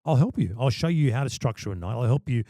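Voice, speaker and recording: Passionate emphatic man with a dark voice. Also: medium pitch, expressive, fast, dry recording, clean studio sound. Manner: passionate, emphatic, repeats words when starting. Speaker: podcast guest, wedding MC. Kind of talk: casual podcast chat.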